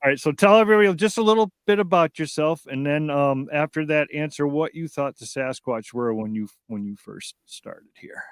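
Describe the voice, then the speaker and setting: Clear-voiced man in his fifties, hosting casually. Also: on the high side, moderate pace, hardly any pauses, no echo. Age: 50s. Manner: rambling, repeats words as he starts sentences. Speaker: show host. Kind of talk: casual talk show hosting. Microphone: online video call.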